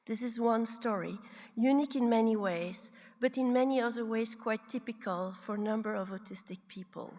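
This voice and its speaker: Gravelly older woman speaking in a hall. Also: fairly high pitch, a little mellow. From Belgium. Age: 60s. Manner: measured, reflective, deliberate phrasing. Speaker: psychiatrist giving a congress talk. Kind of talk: prepared conference speech. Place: large conference hall.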